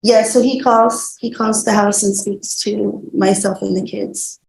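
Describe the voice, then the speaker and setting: A woman testifying as a witness in a courtroom, answering in a hesitant, halting way with many fillers. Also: mid-range voice, steady tone, creaky, a little mellow. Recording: echoey courtroom with faint room noise.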